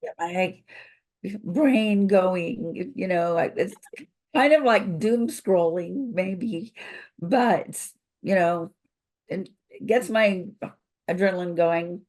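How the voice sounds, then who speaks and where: Older woman, froggy voice, very slow pace, many pauses, video call guest. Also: on the low side, darkish tone. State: froggy voice from illness. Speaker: company founder, guest. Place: home office with bookshelves.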